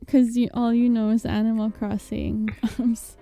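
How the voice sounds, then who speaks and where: A woman's voice: a little high, little pitch movement, normal speed, mellow, soft background music. A young woman, a Tagalog speaker, co-hosting a casual podcast with playful, giggly banter. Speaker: podcast co-host.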